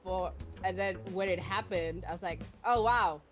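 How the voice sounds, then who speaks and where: A woman's voice: on the low side, moderate pace, faint music behind. A woman, hosting a casual livestream chat and laughing often. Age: 30s. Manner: chatty, lively, laughs often. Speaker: stream host.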